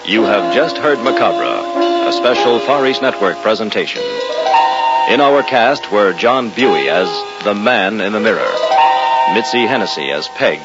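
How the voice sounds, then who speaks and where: An American man works as a vintage radio announcer, reading credits. His lowish voice moves expressively over loud music on a muffled old recording. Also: huge pitch swings, unhurried. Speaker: announcer, Air Force sergeant.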